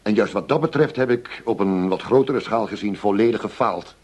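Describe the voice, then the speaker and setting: An actor playing an earnest, driven middle-aged police chief inspector in a radio drama, speaking formally, insistently and with measured phrasing. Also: male voice, fairly low pitch, darkish tone, breathy edge. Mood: grave, bitter.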